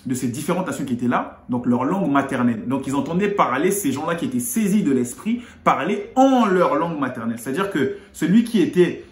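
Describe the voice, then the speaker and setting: A man's voice: fairly quick, few pauses. Man explaining scripture in an echoey room. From Congo. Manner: explanatory, animated pitch rises on key points. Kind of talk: explaining scripture in casual chat.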